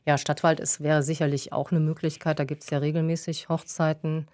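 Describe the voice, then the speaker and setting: A woman's voice: low voice, level tone, a little fast, darkish tone. A young woman from Düsseldorf, chatting casually as co-host, laughing often and using many fillers. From Düsseldorf, Germany. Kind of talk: casual chat, question round.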